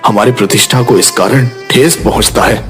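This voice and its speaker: A man's voice: mid-range voice, normal speed, a bit bright, soft background music, lightly acted. A man playing a worried village father in a Hindi moral story. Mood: worried, pleading. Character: worried village father pleading about his son.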